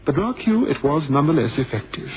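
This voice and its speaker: An actor playing a blind former pilot, theatrical and bitterly witty, with a wry, wordy, ironic manner, in a radio drama. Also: male voice, a little high, sing-song, fast, a crowd in the background. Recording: noisy, thin phone-like old radio recording.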